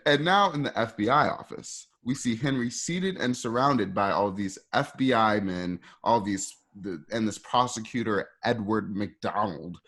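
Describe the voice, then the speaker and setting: A man's voice: fairly low pitch, a little slow, hardly any pauses, a bit bright. A man narrating screenplay action for a radio play in an informal, hesitant way, with conversational asides. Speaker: narrator reading stage directions.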